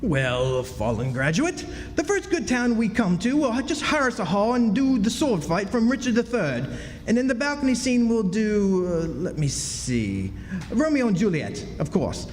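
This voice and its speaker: A man's voice: sits high, wildly swooping pitch, normal speed, a little hiss or hum. A man playing a theatrical, self-pitying con man who poses as a duke, speaking in florid declamation in a stage radio drama. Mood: pompous. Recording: echoey hall stage performance, music behind.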